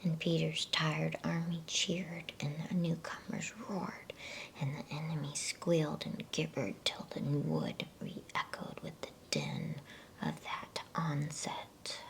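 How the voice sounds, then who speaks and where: A woman reading a bedtime story in a breathy, soothing ASMR whisper. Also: sits low, animated, poor audio. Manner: hushed, unhurried, soothing delivery. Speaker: storybook reader.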